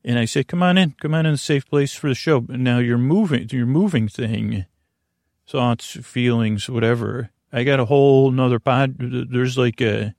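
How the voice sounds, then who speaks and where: A man hosting a bedtime podcast in a creaky, lulling voice, meandering gently through halting, self-interrupting tangents. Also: medium pitch, dry recording, clean studio sound. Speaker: podcast host. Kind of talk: rambling, soothing bedtime monologue.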